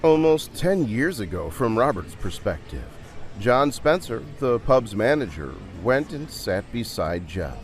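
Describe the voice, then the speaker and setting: A man's voice: medium pitch, big pitch swings, unhurried, faint music behind. A man narrating a dramatised storybook adventure in a theatrical, dramatic way.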